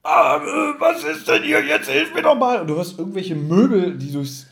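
A man chatting casually as a podcast co-host, animated and often slipping into mock voices to act out quotes. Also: very high voice, normal speed, vocal fry, echoey room. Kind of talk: casual chat reviewing an audio drama.

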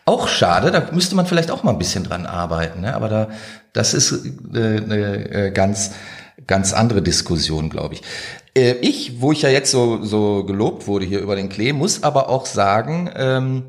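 A man's voice: on the low side, sing-song, moderate pace. A man from the Ruhr region co-hosting a podcast, talking colloquially and somewhat hesitantly. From Ruhr region, Germany. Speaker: podcast co-host, author. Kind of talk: casual podcast chat.

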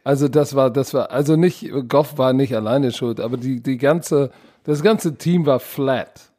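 A man co-hosting a podcast in casual sports chat, excitable and slangy, often repeating words. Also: mid-range voice, a little slow. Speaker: podcast co-host.

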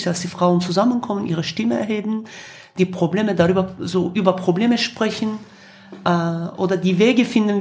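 Kurdish accent woman around 50, medium pitch, thoughtful interviewee, searches for words a bit. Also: a little mellow. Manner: halting, searching phrasing in non-native German. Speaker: interviewed musician. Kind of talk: reflective interview answers.

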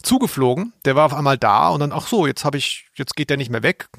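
A man co-hosting a podcast in casual conversation, often halting as he searches for words. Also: fairly high pitch, big pitch swings, creaky, a bit bright, no room sound, studio quality. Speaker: podcast co-host. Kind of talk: casual chat about radio plays.

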